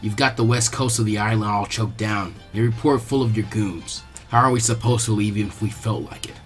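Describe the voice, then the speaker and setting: Man acting a cold deadpan ninja boy, low-pitched. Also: brisk, faint music behind, close to an everyday voice. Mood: flat, demanding. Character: cold, stoic ninja boy, calculating and dry.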